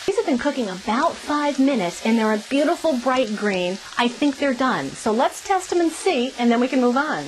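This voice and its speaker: A woman's voice: sits high, animated, normal speed, few pauses, slight background noise, rough recording. A middle-aged woman hosting a cooking show, explaining each step in an upbeat scripted way from a small RV kitchen on a lapel mic.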